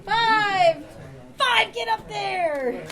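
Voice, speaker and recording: A woman's voice: very high-pitched, animated, extremely slow, far from the mic. A woman chatting casually and calling out numbers at a club gift exchange. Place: large indoor room at a club luncheon. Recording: loud crowd chatter in large room. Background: loud crowd chatter.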